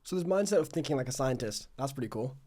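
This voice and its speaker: A man's voice: mid-range voice, lively intonation, fast talker, warm tone. A young man hosting a casual podcast interview, talking informally and reflectively with plenty of "like". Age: young adult. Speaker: podcast host.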